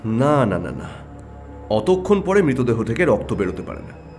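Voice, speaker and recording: An actor playing a keen, brooding and excitable private detective in an audio drama, with a probing, emphatic delivery. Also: male voice, medium pitch, moderate pace, vocal fry, soft background music.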